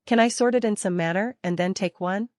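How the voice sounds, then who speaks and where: A woman working as a software trainer, teaching interactively step by step, repeating key points and posing questions to learners. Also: medium pitch, a little fast, no room sound, clean studio sound.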